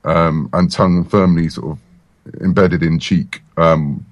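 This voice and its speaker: A man's voice: low voice, fairly even intonation, slow, no room sound, highs cut off. A man chatting casually as an interview guest, with a wry, self-deprecating manner. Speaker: interview guest, comics and audio writer.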